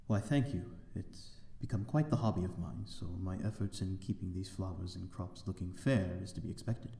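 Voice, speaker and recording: A man's voice: low voice, normal speed, creaky, warm tone, reverberant. A man playing a courteous, lonely vampire lord in an ASMR roleplay, speaking in a genteel, formal and slightly hesitant manner. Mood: serene, refined. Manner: genteel, formal, hesitant, old-fashioned wording. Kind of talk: ASMR audio roleplay, amateur drama.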